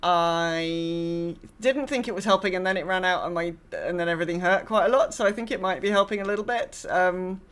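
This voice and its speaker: Female podcast co-host, on the lower side, informal and meandering, drifts between thoughts. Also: a little fast, fairly bright tone, clean tone. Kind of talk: casual gaming podcast chat.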